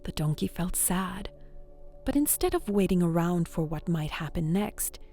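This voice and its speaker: A woman hosting a bedtime story, guiding relaxation in a soft, soothing, often whispered voice. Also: on the low side, expressive, moderate pace, soft background music. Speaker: storyteller and host.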